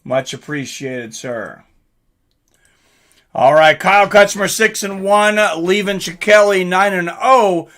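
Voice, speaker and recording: A man hosts a casual live fight companion stream in a forceful, bright voice that sits a little high. He takes his time, speaking slowly and informally. He addresses his viewers directly and often clears his throat. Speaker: host of a live fight watch-along stream. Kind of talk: casual live commentary, reading out and answering chat.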